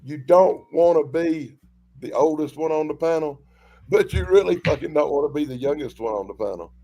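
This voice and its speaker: A man in his late fifties talks loosely with friends on a panel, cussing without a second thought. His voice is gravelly with a fair bit of fry, and it sits a little higher than you might expect. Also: normal speed, warm tone, slight background noise. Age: 50s. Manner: laid-back, swears freely. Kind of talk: casual chat.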